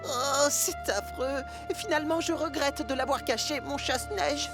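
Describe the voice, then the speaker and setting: A woman's voice: high-pitched, normal speed, music in the background, close to an everyday voice. A woman voicing a stubborn, impatient young male steam engine in a French-dubbed children's cartoon, with a childlike, exclamatory delivery. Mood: worried.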